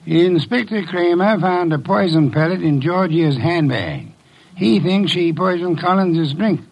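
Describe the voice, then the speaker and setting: Imperious older male detective for a vintage radio drama, slightly high voice, creaky edge, pompous and deliberate. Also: animated, a little mellow, full character voice, poor audio. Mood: probing, assured. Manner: pompous, precise, deliberate diction. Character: imperious, brilliant older male private detective, gruffly dismissive. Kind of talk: 1950s radio drama acting.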